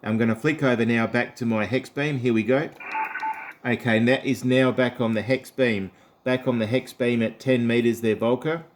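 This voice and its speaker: Aussie guy doing a ham radio contact, matey and chatty, medium pitch. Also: steady tone, moderate pace, a little hiss or hum. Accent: Australian. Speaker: amateur radio operator.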